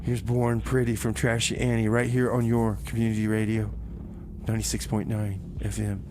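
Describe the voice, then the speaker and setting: A man hosting a music radio show, rambling casually in a folksy, halting way full of exclamations. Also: on the low side, little pitch movement, background noise. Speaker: radio host.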